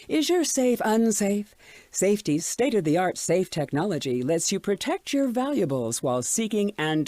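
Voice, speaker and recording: A sly female commercial announcer, selling in a scripted radio spot. Her voice is fairly low and mellow, with lively swoops, recorded dry. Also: no echo.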